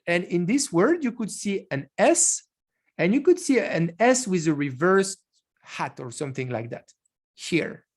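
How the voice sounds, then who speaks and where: A man's voice: high-pitched, very animated, measured pace. Man giving an online lecture. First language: French. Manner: explanatory, with frequent restarts.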